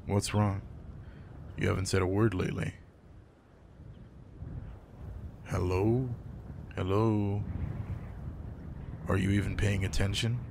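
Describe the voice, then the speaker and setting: A man playing a gruff but caring werewolf pack alpha in an intimate roleplay audio drama, speaking in a low-key, casual, matter-of-fact way. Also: flat delivery, measured pace, pauses often. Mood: puzzled, concerned. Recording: noticeable background noise.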